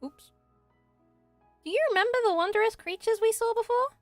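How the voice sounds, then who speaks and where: Woman doing a high, chirpy little fairy girl. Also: moderate pace, no echo, soft background music. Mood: chirpy, curious. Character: Paimon, small excitable squeaky girl fairy companion.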